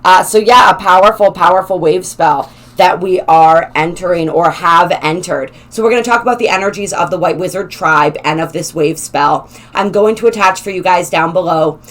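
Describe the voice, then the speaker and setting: A woman hosting a spiritual channel, her voice slightly raspy, talking in a gentle, flowing way with some halting restarts. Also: on the low side. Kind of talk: casual spoken explainer, partly read aloud.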